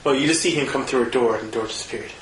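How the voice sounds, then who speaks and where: A man running a tabletop roleplaying game, narrating in a halting way with frequent filler pauses. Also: mid-range voice, a bit bright, roomy sound, slight background noise, low-quality recording. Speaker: game master.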